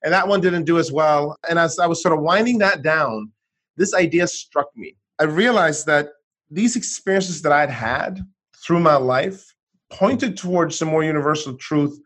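Middle-aged man from Sweden, guest author, lively voice on the higher side, restarts a lot. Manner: animated storytelling, frequent restarts. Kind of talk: conversational interview.

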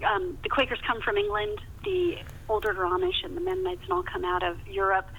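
A woman's voice: extremely high pitch, sing-song, fairly quick, creaky, slight background noise. An older American woman, a guest author, chatting enthusiastically in a podcast interview over a muffled line.

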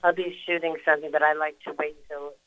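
Older actress chatting on a phone-in. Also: female voice, deep voice, little pitch movement, fast talker, a bit bright, no room sound, highs cut off. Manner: chatty, rambling with many fillers and restarts. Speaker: actress guest. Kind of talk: phone-in interview. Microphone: phone call.

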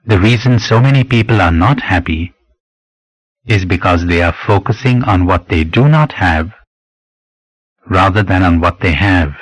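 A man narrating a scripted spiritual meditation in a measured, deliberate, instructive way, pausing between phrases. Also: low voice, slightly rough, no echo, low-quality recording.

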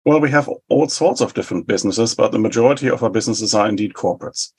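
A man in his forties from West Germany with a German accent, explaining public policy in measured, list-like answers as an interview guest. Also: on the low side, a little mellow, husky. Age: 40s. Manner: measured, explanatory, rhetorical lists. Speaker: think tank head, guest.

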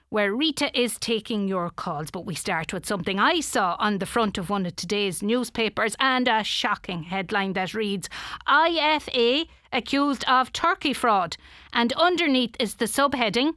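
An actress with an Irish accent playing a self-important current affairs radio host in a comic radio play. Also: female voice, fairly high pitch, a bit bright, no room sound, clean studio sound. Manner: brisk, interrupting, self-assured. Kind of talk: comic radio play, talk show presenting.

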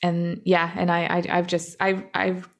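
A young woman who grew up in Canada, speaking as a guest in an interview and talking thoughtfully, with frequent pauses, fillers and restarts. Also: lowish voice, monotone, vocal fry. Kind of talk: reflective interview talk.

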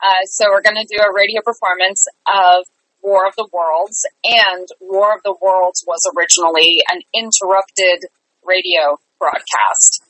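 A woman's voice: medium pitch, steady tone, unhurried, bright, forceful tone, dry recording, low-quality recording. A woman chatting casually and upbeat as a guest in an interview about her work. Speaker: theater company artistic director, guest.

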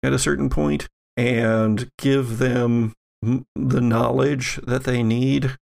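A man with a gravelly, mid-pitched voice acts as game master for a tabletop story. He improvises his narration and explains each step in a conversational way. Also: fairly even intonation, slow pace, a little mellow.

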